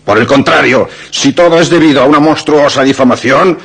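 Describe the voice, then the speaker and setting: An actor with a Castilian accent playing a solemn, loyal brother, formal and declamatory, in a radio drama. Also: male voice, mid-range voice, a little fast, gravelly, a bit bright, breathy edge. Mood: resolute, grave. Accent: Castilian Spanish. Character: solemn, loyal brother defending an accused man. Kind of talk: Spanish radio drama acting.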